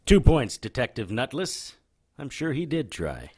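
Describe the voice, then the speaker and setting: A man's voice: mid-range voice, very animated, a little slow, a little mellow, slightly rough, no room sound, clean studio sound, exaggerated voice. A man playing a cocky, sardonic occult detective in a noir-flavored audio drama, showy and needling.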